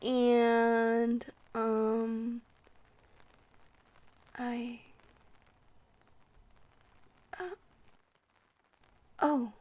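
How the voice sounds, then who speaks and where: A woman's voice: a little high, monotone, extremely slow, muffled recording. A woman playing a shy, friendly vampire who rambles hesitantly and flirts sweetly in an ASMR roleplay. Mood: playful, teasing, then flustered, tender. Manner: hesitant, rambling, soft-hearted, awkwardly stammering.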